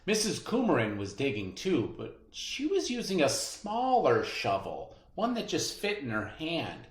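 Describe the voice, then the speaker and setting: An expressive co-reader takes a children's story slowly and with emphasis. The pitch moves up and down a great deal, and chatty comments break into the reading. The room adds noticeable echo. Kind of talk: read-aloud of a children's picture book with side comments.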